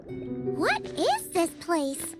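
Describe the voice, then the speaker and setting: Tiny childlike game fairy: extremely high, squeaky, chirpy and animated, over loud music. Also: female voice, extremely slow, exaggerated voice. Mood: curious, chirpy. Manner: chirpy, exclamatory. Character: tiny excitable childlike floating fairy companion, female. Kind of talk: video game character acting.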